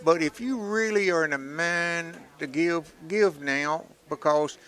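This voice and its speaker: A man with a fairly high, bright, forceful voice hosts a live radio telethon. He pitches for donations in a folksy, joking way. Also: measured pace, clear, resonant tone. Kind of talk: live radio fundraising appeal.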